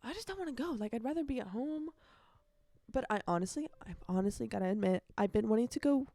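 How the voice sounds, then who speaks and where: A woman's voice: a little high, expressive, fast, warm tone, dry recording. A nineteen-year-old woman chatting casually on a solo podcast, rambling and correcting herself, with youthful slang and emphatic repetition. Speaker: podcast host.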